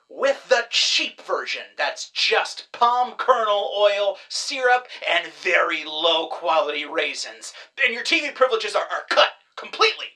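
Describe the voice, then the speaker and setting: A woman's voice: a little high, sharp tone, full character voice. An actress playing an exasperated woman who lectures a captive like a disappointed parent. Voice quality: sarcastic, parental scolding.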